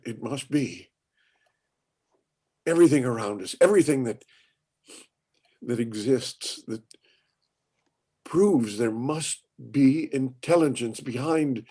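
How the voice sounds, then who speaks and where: Elderly inventor, male actor, eighties. Stubborn dreamer, slow and halting, stage drama dialogue. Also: mid-range voice, dark tone, husky. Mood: awed, halting. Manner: halting, repeating words, ruminative. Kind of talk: acted dialogue in a play reading.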